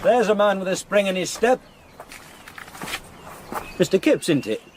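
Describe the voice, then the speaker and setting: A man's voice: high-pitched, animated, measured pace, a little hiss or hum. An actor playing a hearty, blunt, shrewd countryman with a Northern English accent in a period radio drama.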